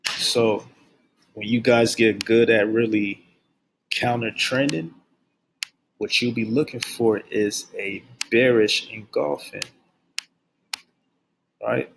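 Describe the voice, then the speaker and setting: A young man teaching forex basics, keeping his voice low and subdued, and repeating key phrases over and over as he drills them in. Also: fairly even intonation, slow, creaky, pauses often, a bit bright, a little hiss or hum, poor audio. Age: young adult. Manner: speaks low and subdued on purpose. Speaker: forex trading instructor. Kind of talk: screen-share trading lesson. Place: at his workplace.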